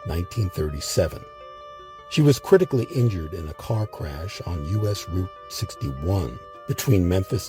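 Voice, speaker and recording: A man hosting a history series, reading scripted documentary narration with measured, emphatic phrasing. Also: low-pitched, warm tone.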